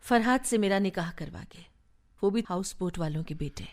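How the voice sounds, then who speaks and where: An actress in a radio play as an affectionate, nostalgic married elder sister and mother, speaking gently and expressively. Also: mid-range voice, animated, moderate pace, lightly acted. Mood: reflective.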